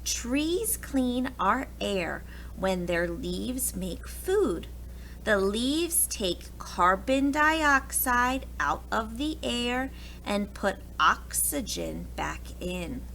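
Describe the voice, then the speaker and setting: Very slow, animated woman reading to children. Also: on the high side, gravelly, slight background noise, low-quality recording. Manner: expressive, talks directly to young children. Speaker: preschool teacher reading aloud. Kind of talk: children's picture book read-aloud with asides.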